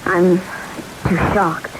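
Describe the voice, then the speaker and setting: An American woman acting a shocked, grieving young woman, halting and withdrawn, in old-time radio melodrama style. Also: fairly low pitch, little pitch movement. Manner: halting, fragile delivery. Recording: old radio broadcast with hiss.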